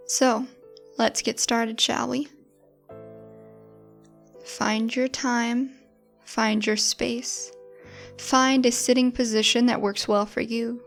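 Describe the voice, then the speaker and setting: A woman leads a guided meditation at a very unhurried pace. Her voice sits fairly high, with a touch of gravelly fry at times. She speaks in a gentle, informal, encouraging way over music.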